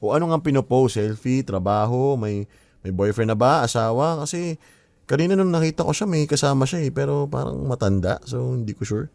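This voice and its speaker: A man's voice: mid-range voice, expressive, brisk, creaky, a little mellow, no echo. An actor playing a curious, pushy young man in a radio drama, with an inquisitive, pressing way of talking. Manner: inquisitive, pressing, casual Taglish.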